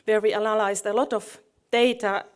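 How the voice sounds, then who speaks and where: A middle-aged woman presents at a conference as a project manager. Her voice sits at an average pitch, and her delivery is halting, with frequent searching for the right word. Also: level tone, smooth. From Finland.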